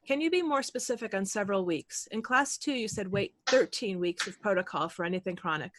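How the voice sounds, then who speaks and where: Woman co-host reading questions, relaxed chatty tone, dry close mic. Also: mid-range voice, brisk, no room sound. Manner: relaxed, conversational, uses fillers. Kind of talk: reading questions, casual chat.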